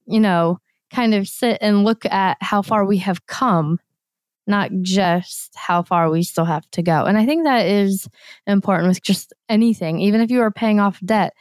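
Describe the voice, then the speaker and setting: A woman co-hosting a casual podcast, her voice slightly hoarse, explaining things earnestly. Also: mid-range voice, no room sound, studio quality. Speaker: podcast co-host.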